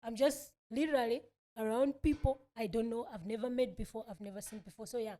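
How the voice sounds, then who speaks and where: A woman in her thirties speaks with a Southern African accent in a fairly high, very mellow voice. She tells personal stories as a podcast guest and repeats phrases for emphasis. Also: steady tone, a little fast. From born in South Africa, grew up in Malawi. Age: 30s. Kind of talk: personal storytelling in an interview.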